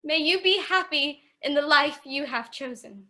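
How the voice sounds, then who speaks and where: A woman's voice: high-pitched, expressive, pushed and bright, heavily acted. A woman in a radio play playing a poor young fiancée who speaks with sorrowful, earnest resolve. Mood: sad, firm. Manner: earnest, measured, plaintive.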